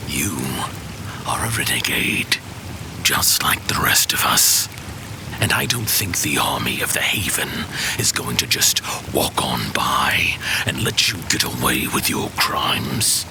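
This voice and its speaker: Rushing rain noise surrounds a menacing fallen warlord from a fantasy audio drama. His voice is extremely low and thick with gravel, and he speaks slowly, with imperious, scheming threat. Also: full character voice. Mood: sly, persuasive. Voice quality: very deep, gravelly voice. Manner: slow, threatening, persuasive.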